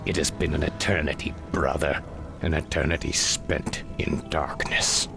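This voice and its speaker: An actor playing an embittered night elf freed after ages of imprisonment, brooding and dramatic, in a video game cutscene. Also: male voice, very deep voice, steady tone, a little slow, creaky, in a whisper, heavily acted. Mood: bitter, defiant. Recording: music behind.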